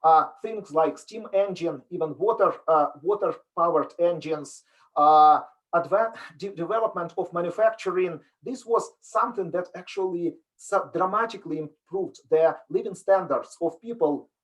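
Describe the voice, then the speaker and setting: A man's voice: a little high, normal speed, rough recording. A middle-aged man from Ukraine with a Ukrainian accent, giving an earnest, explanatory online talk as a business professor. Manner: earnest, explanatory, with frequent restarts. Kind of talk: online lecture presentation.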